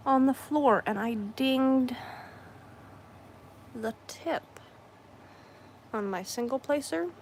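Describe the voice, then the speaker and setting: Hoarse woman in a slow, rambling chat. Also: a little high, slight background noise. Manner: rambling, with frequent throat-clearing and self-corrections. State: hoarse, losing her voice. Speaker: craft streamer. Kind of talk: casual livestream chat while crafting.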